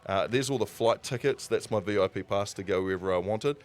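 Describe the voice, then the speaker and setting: A man with a New Zealand accent telling stories casually as a livestream host, in an animated raconteur's way with dramatic pauses. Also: on the low side. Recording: music playing behind the voice. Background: background music.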